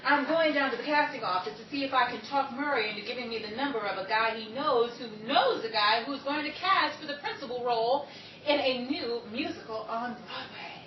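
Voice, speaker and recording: A woman's voice: high-pitched, brisk, dull audio, natural voice. A woman playing a brassy, ambitious young hopeful actress in a 1930s-style radio comedy, chatty, folksy and wisecracking. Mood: breezy, eager. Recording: echoey stage, distant mic, noisy audience.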